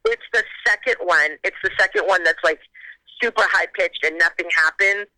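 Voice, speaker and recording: A woman guest on a phone call, telling a story in a casual, animated way and acting out her own reactions. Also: fairly low pitch, normal speed, dry recording.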